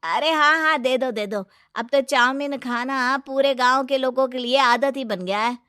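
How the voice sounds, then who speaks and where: A woman voicing simple village folk in a cartoon story, with an exclamatory, drawn-out delivery. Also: high voice, bright, forceful tone, clean tone, no echo, clean studio sound. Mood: surprised, complaining. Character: village folk, moneylender's wife and plaintive customers.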